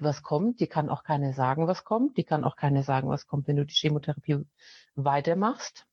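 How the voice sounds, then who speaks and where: A woman's voice: sits low, darkish tone, no room sound, highs cut off. A woman speaking as an interview guest, telling her story in a plain-spoken, matter-of-fact way with frequent fillers. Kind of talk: casual conversational storytelling.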